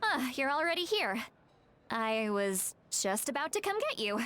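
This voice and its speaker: Game role: earnest young woman, fairly high voice, slow soft delivery, weary and hesitant. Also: very animated, exaggerated voice. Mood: calm. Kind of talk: video game voice acting.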